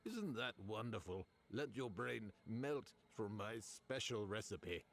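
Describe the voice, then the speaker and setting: Low-voiced drunken little fairy brawler. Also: male voice, very animated, slow, heavily acted. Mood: drunkenly coaxing. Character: small drunken, hairy, smelly fairy man, pushy and brawling. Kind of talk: video game character acting.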